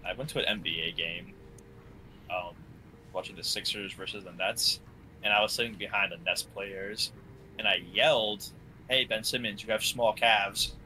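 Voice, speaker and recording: A man co-hosting a podcast in casual chat, joking and animated. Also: lowish voice, normal speed, a little hiss or hum, poor audio. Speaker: podcast co-host.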